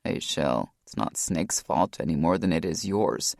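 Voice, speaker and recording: A woman putting on a deep, hushed brother, calm and comforting, his voice dropped well below hers and kept quiet. The read is close and dry, with no room around it. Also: a little slow, creaky, no room sound, full character voice. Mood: soothing. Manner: low, soft and soothing. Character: worried gentle adult brother, a man.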